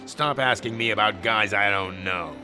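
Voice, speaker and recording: A man plays a sleazy arcade owner in a video game who taunts the police with smug sarcasm. His voice sits a little low. Music plays clearly in the background. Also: normal speed, close to an everyday voice.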